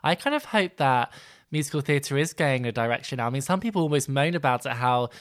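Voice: medium pitch, animated, a little fast. A podcast host asking questions and reacting with encouraging interjections.

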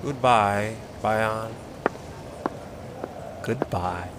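An actor playing a scheming, gloating, ambitious courtier in an audio drama, deliberate and florid in his self-satisfaction. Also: on the low side, background noise. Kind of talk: theatrical audio drama monologue. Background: plucked guitar music.

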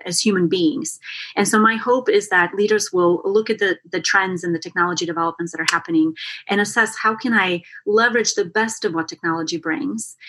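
A woman's voice: on the low side, a bit bright, breathy edge. A woman of about forty, originally from Bulgaria and raised partly in America, giving earnest, articulate explanations as an interview guest on a remote call. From born in Bulgaria, in America from age twelve. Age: late 30s to early 40s. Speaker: interview guest, consultant and author. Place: remote call over a screen.

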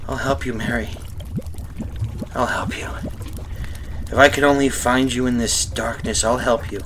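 Tense, bright-voiced haunted man, performed by an actor. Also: medium pitch, steady tone, slight background noise, natural voice. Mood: hushed, haunted. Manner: melodramatic, halting with repeated phrases. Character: guilt-ridden terrified dead man, haunted by his cowardice. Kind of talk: horror radio play acting, first-person narration.

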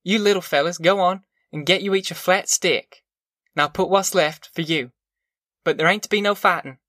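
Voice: mid-range voice, normal speed, fairly bright tone, no echo, clean studio sound, voice pushed up, lightly acted. A narrator voicing a worn, anxious, poor country mother with a rural Southern American accent and plain, pleading dialect. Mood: gently firm.

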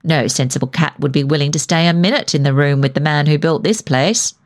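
A woman reader voicing a comfortable, easygoing husband in a story, unhurried and dryly reassuring. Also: low voice, natural voice. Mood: dryly amused.

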